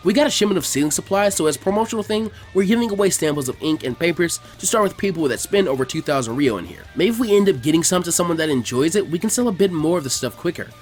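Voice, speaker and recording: A man's voice: fairly high pitch, expressive, fast talker, hardly any pauses, faint music behind, lightly acted. A man voicing a casual, teasing young female weapons shop clerk in a story, with a dry, matter-of-fact manner.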